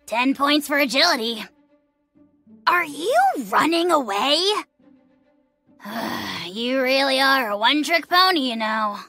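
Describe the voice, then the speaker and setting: A woman's voice: high-pitched, animated, slow, long pauses, bright, forceful tone, faint music behind, exaggerated voice. A woman voicing a small, self-important dragon lord in a video game, pompous, petulant and theatrically taunting. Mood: scornful. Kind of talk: video game character voice acting.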